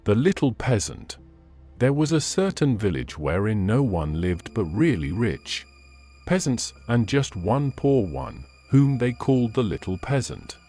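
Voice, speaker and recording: A man reading fairy tales as a scripted audiobook narration, in measured, expressive storybook phrasing. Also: mid-range voice, faint music behind.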